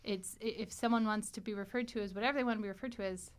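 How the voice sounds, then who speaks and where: A woman hosting a casual panel conversation in an animated, joking way, laughing easily. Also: medium pitch, racing, smooth. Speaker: podcast host.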